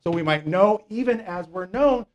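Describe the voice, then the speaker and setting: High-voiced man teaching a sermon. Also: animated, normal speed, highs cut off, soft background music. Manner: explains scripture with frequent "okay?" check-ins and fillers; mocks imagined religious people in a raised voice. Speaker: preacher. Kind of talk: conversational Bible teaching sermon.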